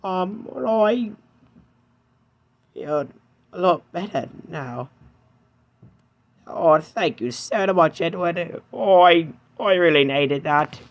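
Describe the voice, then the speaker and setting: A man voicing a touchy, hurt male steam engine in a fan-made cartoon dub, shaky and prone to yelling. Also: expressive, takes it slowly, long pauses, muffled recording, full character voice. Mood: relieved. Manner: shaky, high-strung, bursts into yelling.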